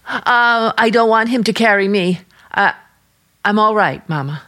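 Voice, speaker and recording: An actress playing an earnest, suspicious young niece in old-time radio drama, speaking in a guarded, halting, pointed way. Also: female voice, mid-range voice, pushed and bright. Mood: faint, insistent.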